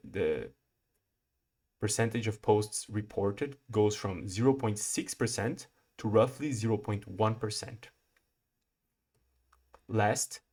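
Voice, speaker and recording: A young man from Brazil, a PhD student, giving a prepared research talk in a measured, explanatory way with occasional restarts. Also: lowish voice, darkish tone.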